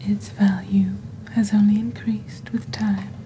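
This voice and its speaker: A woman voicing a witch who presents magical wares in soft-spoken, breathy, deliberate ASMR style, close to the microphone. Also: mid-range voice, little pitch movement, slight background noise, close to an everyday voice, poor audio. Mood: hushed, mysterious. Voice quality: breathy, whispery. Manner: slow, deliberate, hushed storytelling. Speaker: ASMR performer as shopping channel presenter. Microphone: close desk microphone.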